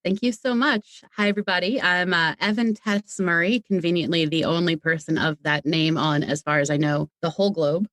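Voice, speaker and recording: A woman's voice: fairly low pitch, steady tone, a little fast, few pauses, a bit bright, no room sound. A woman speaking as a podcast guest, chatting casually in a talkative, playful way. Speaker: podcast guest, audio drama creator. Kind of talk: casual interview chat.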